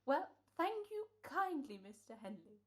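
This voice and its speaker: A woman playing a polite, eccentric old gentleman salesman with hesitant, folksy speech in a radio comedy-horror play. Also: high voice, big pitch swings, measured pace, slight background noise. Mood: polite, grateful.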